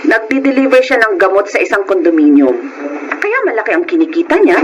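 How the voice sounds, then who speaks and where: A woman's voice: medium pitch, very animated, fairly quick, dull audio, lightly acted. A woman playing a nagging, demanding wife and mother in an emotional Filipino radio drama. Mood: scheming. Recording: noisy radio drama recording.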